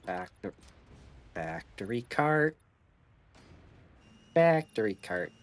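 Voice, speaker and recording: A man's voice: on the low side, big pitch swings, very slow. A man streaming a factory-building game, chatting casually and muttering to himself while he adjusts his audio settings. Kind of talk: casual gaming chat.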